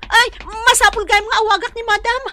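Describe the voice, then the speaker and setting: High, bright-voiced anxious housemaid. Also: female voice, steady tone, brisk, no room sound. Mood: panicked. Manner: polite and deferential, pleading when distressed. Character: anxious, deferential young housemaid woman, eager for work. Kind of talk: radio drama acting.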